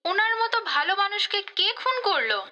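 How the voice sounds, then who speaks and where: A woman playing a curious, concerned woman at home in an audio drama, animated and inquisitive. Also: very high voice, a little fast, heavily acted. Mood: curious, surprised. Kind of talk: Bengali audio drama acting.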